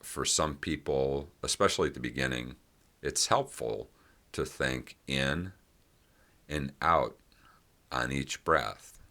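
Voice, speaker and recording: Very deep-voiced man guiding meditation slowly. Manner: gentle, unhurried phrasing with long pauses. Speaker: meditation guide. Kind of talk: guided meditation, unscripted instruction.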